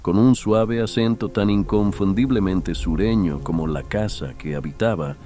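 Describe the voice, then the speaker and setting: Deep-voiced man narrating horror. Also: mellow, soft background music. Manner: literary, solemn, measured. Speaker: audiobook narrator. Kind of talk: scripted horror story narration.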